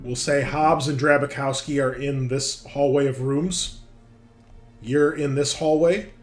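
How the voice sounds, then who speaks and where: A man's voice: medium pitch, fairly even intonation, a little slow. Man running a tabletop game over music. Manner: explanatory, narrating action and rules. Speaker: game master. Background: background music.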